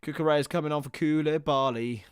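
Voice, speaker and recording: Man, young, streamer, football watchalong, fairly high voice, clear, dry sound. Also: fairly even intonation, quick pace, no echo. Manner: chatty, jumps between match calls and banter with chat. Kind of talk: casual live commentary and chat with viewers.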